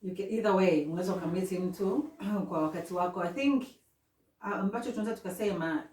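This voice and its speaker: A woman's voice: fairly low pitch, fast talker, a little mellow. A young woman with a Kenyan accent, hosting a casual chat and giving earnest advice. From East Africa. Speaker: host. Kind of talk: casual chat, mixing English and Swahili. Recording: echoey room, voice far from mic.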